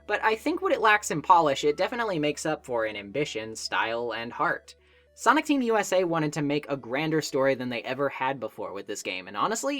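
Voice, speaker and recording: A woman's voice: sits low, a bit bright. A woman narrating a scripted video essay in an emphatic, conversational way, as its presenter. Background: music.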